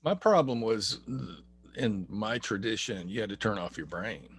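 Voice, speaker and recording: A middle-aged man hosting a casual interview, chatty and friendly, who clears his throat often. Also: fairly low pitch, animated, moderate pace, slight background noise. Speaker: interviewer, host.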